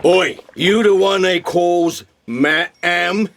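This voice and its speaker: An actor playing a thuggish bloodsucker creature who collects debts, talking in slangy, menacing tough talk in a comic audio drama. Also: male voice, high-pitched, drawn-out words, creaky, a bit bright, a little hiss or hum, full character voice. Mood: gruff demanding.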